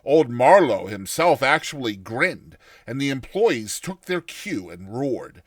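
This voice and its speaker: A man narrating a classic short story in a theatrical, expressive first-person style, like a dramatized audiobook. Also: medium pitch, slow, no echo, clean studio sound.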